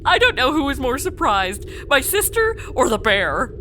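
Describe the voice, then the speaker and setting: A woman's voice: high voice, big pitch swings, normal speed, pushed and bright, a little hiss or hum, exaggerated voice. A woman playing a sarcastic modern woman in a comedy audio drama, snarky, exasperated and colloquial. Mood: wry. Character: sarcastic modern woman stuck inside an old radio adventure.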